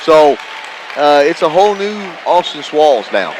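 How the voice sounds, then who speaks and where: A man's voice: a little high, very animated, a little slow, a faint crowd. A man giving conversational, emphatic color commentary during a live basketball broadcast. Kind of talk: live sports analysis, conversational. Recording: noisy live gym broadcast. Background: gymnasium ambience, bouncing basketballs.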